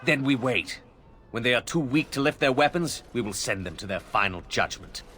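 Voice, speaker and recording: A man's voice: mid-range voice, lively intonation, moderate pace, background noise, lightly acted. An actor playing a ruthless male army commander in a fantasy game, barking orders with contempt. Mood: cold contempt. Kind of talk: fantasy video game cutscene.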